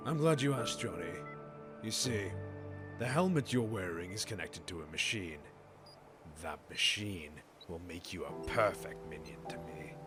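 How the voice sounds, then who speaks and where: A man plays a cold, controlling crime boss in an audio drama. His voice sits fairly low and he speaks as a threatening master who expects obedience. Music plays under his lines. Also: lively intonation, measured pace. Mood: coldly coaxing. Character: menacing, controlling male crime boss villain.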